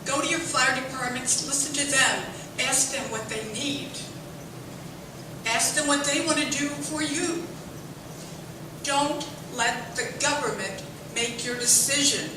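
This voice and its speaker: Woman audience member, high voice, slow and impassioned, distant mic in a reverberant room. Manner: passionate, urging, loud. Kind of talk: impassioned public comment. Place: public library meeting room. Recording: echoey room from far off with noise.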